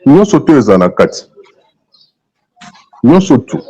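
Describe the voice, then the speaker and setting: A Congolese man speaks as a political guest in a dark, mellow voice at an ordinary male pitch. He argues his opinions emphatically, often repeating a phrase to press it home. The recording is dull and lo-fi. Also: big pitch swings, measured pace, husky. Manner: emphatic, repeats phrases, switches between French and Lingala. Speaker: political guest on a talk show.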